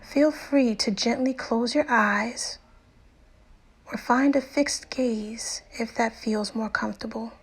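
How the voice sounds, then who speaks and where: A woman narrating a guided meditation in a soothing, unhurried way with deliberate pauses and soft, breathy phrase endings. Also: a little high. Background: music.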